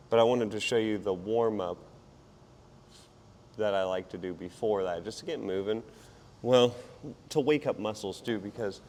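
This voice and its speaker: A man with a fairly low, hoarse voice chats informally as he works out for a fitness vlog. He rambles in a self-deprecating way between efforts. Clear background noise surrounds his voice. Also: a little mellow. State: hoarse, partly lost voice. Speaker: fitness vlogger. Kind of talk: casual workout vlog chat while exercising.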